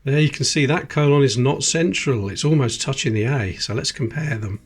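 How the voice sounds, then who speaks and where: A man's voice: mid-range voice, normal speed, slightly rough, soft background music. A British man hosting a coin collecting video, chatting casually and reading out dates as he sorts through coins at a table. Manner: chatty, reads out dates as he goes. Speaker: coin collecting channel host. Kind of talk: casual commentary while sorting coins. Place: indoors at a table. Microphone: close camera, hands-only framing.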